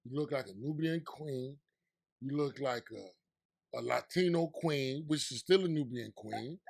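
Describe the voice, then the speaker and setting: A man with a New York accent hosting a casual interview, hyped up one moment and low and confiding the next. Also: measured pace, creaky. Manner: animated, hype, swings between loud excitement and low confiding tone. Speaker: podcast host and DJ.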